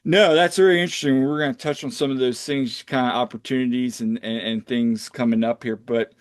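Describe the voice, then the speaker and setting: A man's voice: medium pitch, fairly even intonation, fairly quick, no room sound. American man hosting a casual podcast, dry sound. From United States, Pennsylvania. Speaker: podcast host, coach. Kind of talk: casual conversational interviewing.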